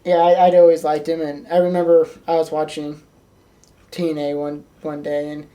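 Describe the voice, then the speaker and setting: A woman's voice: low voice, little pitch movement, normal speed, mellow, distant mic. A young woman co-hosting a wrestling podcast in casual chat, recorded a little away from the microphone. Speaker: podcast co-host.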